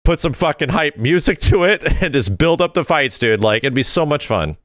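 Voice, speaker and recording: Male podcast co-host, clear resonant voice, dry close sound, casual, enthusiastic, sweary. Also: a little high, sing-song, normal speed, no room sound. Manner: enthusiastic, profane. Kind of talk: casual chat about fighting game films.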